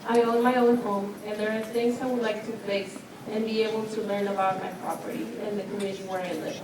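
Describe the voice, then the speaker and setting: A woman interpreter reading a translated testimony aloud at a city hearing in a steady, plain way. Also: mid-range voice, moderate pace. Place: public meeting hall. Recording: very echoey hall, distant mic, noise.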